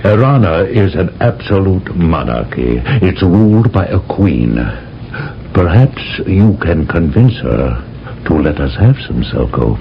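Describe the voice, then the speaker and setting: An actor playing a grave, wry military general who gives measured orders in a 1970s radio drama. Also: male voice, sits low, big pitch swings, gravelly, heavily acted. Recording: dull old radio recording with faint noise.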